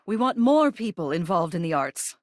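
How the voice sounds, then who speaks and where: A woman's voice: lowish voice, very animated, normal speed, no room sound, clean studio sound. A woman voicing a video game character, a living ceiling who is a haughty yet fond arts critic, speaking in an arch, theatrical manner. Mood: arch, amused. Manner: theatrical, arch, drawling emphasis.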